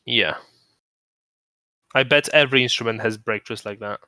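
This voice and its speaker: A man chatting on a stream in a bright, clear voice. He rambles and restarts his sentences. The sound is close and dry, with no room in it. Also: mid-range voice, normal speed, dry recording. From moved to the UK from abroad. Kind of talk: casual chat.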